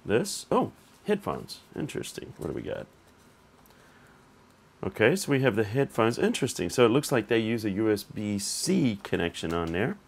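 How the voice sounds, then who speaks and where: Slightly hoarse man with lively, varied pitch. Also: normal speed. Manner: informal, conversational, thinking aloud. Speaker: tech reviewer and channel host. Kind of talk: casual unboxing vlog.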